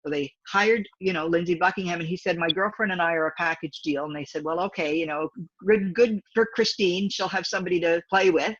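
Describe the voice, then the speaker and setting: A woman in her fifties, a singer with a low, deep voice, chatting in an interview and rambling with many restarts. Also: a little fast, dry recording, highs cut off. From Canada. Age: 50s. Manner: rambling, talkative, frequent restarts. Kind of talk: casual interview chat.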